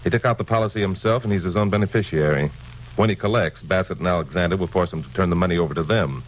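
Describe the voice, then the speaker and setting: Casting: male actor as hard-boiled newsman hero for vintage radio; low voice, assured, wry, faintly noisy recording. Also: fast talker, lightly acted. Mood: determined. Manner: assured, wry, matter-of-fact. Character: tough, unflappable male crime-reporting newsman hero. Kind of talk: old-time radio crime drama dialogue and narration.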